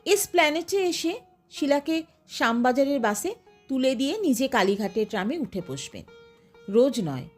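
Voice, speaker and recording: A woman reading a literary story aloud as an expressive audiobook narrator. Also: sits high, normal speed, faint music behind.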